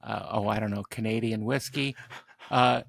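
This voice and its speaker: Gravelly man, dryly joking podcast host. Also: fairly low pitch, fairly even intonation, normal speed, no room sound, studio quality. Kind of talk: casual chat.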